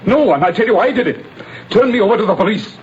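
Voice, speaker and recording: A man's voice: sits high, lively intonation, fast, darkish tone. An actor playing a desperate man insisting on his guilt, strained and pleading. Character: desperate middle-aged man confessing. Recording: muffled old radio broadcast.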